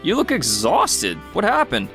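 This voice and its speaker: A man's voice: on the high side, huge pitch swings, unhurried, fairly bright tone, faint music behind, exaggerated voice. A man playing a kindly, upbeat young entrepreneur in a manga dub, friendly and encouraging. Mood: friendly surprise. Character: upbeat, kindly young male entrepreneur telling his story. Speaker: narrator and lead character in dub.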